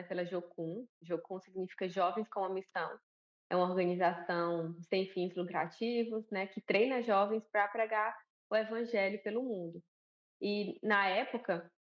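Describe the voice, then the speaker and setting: A woman with a Northeastern Brazilian accent speaks as an interview guest, relaxed and chatty, often tossing in little tag questions. Her voice sits at a middling pitch. The audio is a bit dull and lo-fi. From Northeast Brazil, Alagoas. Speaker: guest, missionary and pastor's wife. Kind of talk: conversational TV interview.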